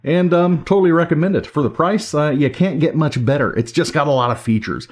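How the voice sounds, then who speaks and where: A man's voice: on the high side, brisk. A man giving a casual, informal hands-on product review, talking off the cuff. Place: indoors at home. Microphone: camera or recorder microphone.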